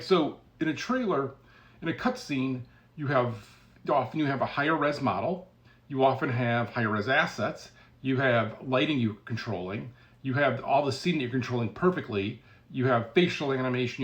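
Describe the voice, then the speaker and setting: Male game developer, mid-pitched voice, casual explainer with blunt, emphatic, sardonic delivery. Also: animated, normal speed, highs cut off. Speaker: video game developer giving commentary.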